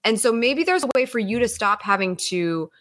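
A woman's voice: a little high, animated, normal speed, fairly bright tone. A woman coaching a client on marketing, asking probing questions and thinking aloud with many "like"s. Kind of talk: casual coaching conversation.

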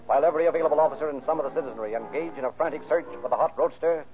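Music plays behind a narrow, phone-like vintage radio recording of a male narrator. He reads crime drama briskly, in a formal, dramatic manner. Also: a little high. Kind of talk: scripted old-time radio crime narration.

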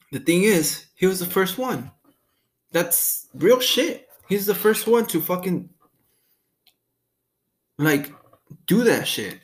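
A young man hosting a casual solo podcast, rambling and swearing freely in a laid-back way. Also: a little high. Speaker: podcast host.

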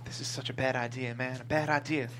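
Brash, whiny comic character, played by an actor, forceful bright voice, table read with faint hum. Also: male voice, medium pitch, fairly even intonation, fast, close to an everyday voice. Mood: whiny, nervous. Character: abusive, foul-mouthed boss; also whiny, cowardly sidekick. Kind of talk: live script table read.

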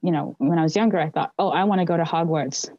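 A woman with a low, mellow voice co-hosts a relaxed book discussion. She muses her way through each thought and often backs up to rephrase. The recording is dry and a little muffled. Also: fast talker, no room sound. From Zambia, raised in the United States. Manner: reflective, thinks aloud.

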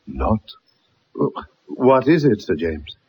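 An actor playing a gruff older colonel, a proud father, in a radio drama, on an old radio recording. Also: on the low side, big pitch swings, takes it slowly, dull audio, a little hiss or hum. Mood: anxious relief.

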